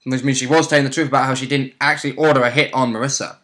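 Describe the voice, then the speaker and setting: A man's voice: mid-range voice, fairly even intonation, racing, a bit bright. A man casually chatting as co-host about a tabletop game, chipping in with short comments and corrections. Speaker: co-host and player recapping a game. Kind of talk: casual chat.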